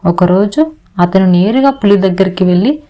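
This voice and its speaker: A woman's voice: mid-range voice, expressive, moderate pace, mellow. A woman narrator reading a scripted moral story for children. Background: music.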